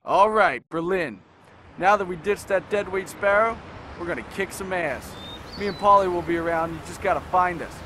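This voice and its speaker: An actor playing a cocky, bullying young skater ringleader in a video game, brash and mocking. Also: male voice, high-pitched, normal speed, sharp tone, soft background music. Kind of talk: video game cutscene acting. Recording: clear street and traffic noise.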